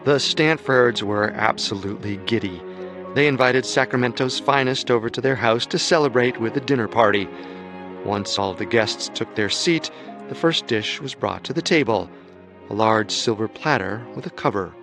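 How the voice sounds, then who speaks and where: Calm male documentary narrator. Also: medium pitch, moderate pace, over music. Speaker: narrator and co-host.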